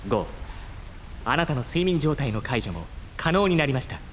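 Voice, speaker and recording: An actor voicing a polite transforming gadget in a superhero audio drama, announcing and reporting formally. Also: male voice, mid-range voice, very animated, normal speed, slight background noise. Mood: polite, efficient. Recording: long reverb, loud music over it.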